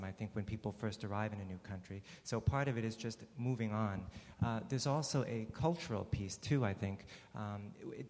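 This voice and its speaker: A male psychiatrist explains his view on a panel in a measured, academic way, hedging as he goes. His voice is fairly low. The sound carries a long hall reverb. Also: a little mellow. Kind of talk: panel discussion. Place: large hall with an audience.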